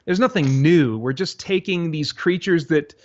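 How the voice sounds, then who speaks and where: A man's voice: a little high, normal speed. A man being interviewed, answering casually and thinking aloud in a rambling way, often voicing his own thoughts as quotes. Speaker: interview guest, creator of a radio drama. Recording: dull, lo-fi interview audio.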